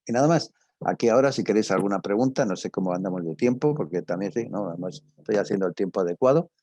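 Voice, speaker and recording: Older man, Castilian Spanish accent, low-pitched, dry close sound, conversational lecturer, rambling anecdotes. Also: animated, fast, dark tone, breathy edge, no echo. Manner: conversational, digressive, addresses listeners directly. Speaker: lecturer, radio drama teacher.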